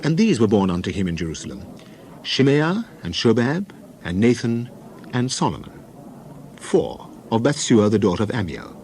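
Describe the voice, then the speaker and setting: A man narrating scripture in a measured, solemn, declamatory way, as in a dramatized Bible reading. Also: lowish voice, big pitch swings, darkish tone, slight background noise.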